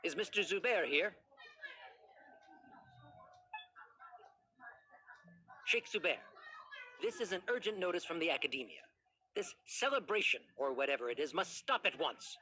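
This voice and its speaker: An actor playing a stern, condescending official in a game, formal, pompous and commanding. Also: male voice, on the high side, expressive, fairly quick, pushed and bright, a little hiss or hum. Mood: brusque. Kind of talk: scripted game dialogue.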